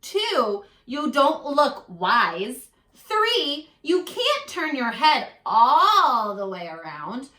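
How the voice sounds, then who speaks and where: A woman's voice: high voice, very animated, very slow, hardly any pauses, sharp tone, reverberant, exaggerated voice. A woman voicing a grown-up father frog from a children's storybook, teasing and firm, with emphatic point-by-point delivery. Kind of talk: children's storybook read-aloud.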